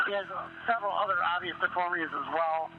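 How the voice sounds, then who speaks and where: A man's voice: high-pitched, steady tone, fast, over music. A man working as an emergency responder, giving a clinical patient report over a radio call. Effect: radio transmission. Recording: dull lo-fi EMS radio call.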